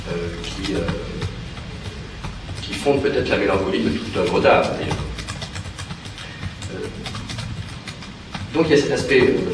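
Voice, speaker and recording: A man who translates for the theatre, reflecting slowly, with many fillers and restarts. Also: fairly low pitch, background noise. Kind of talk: reflective spoken talk. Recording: very echoey room, from far off, with music behind.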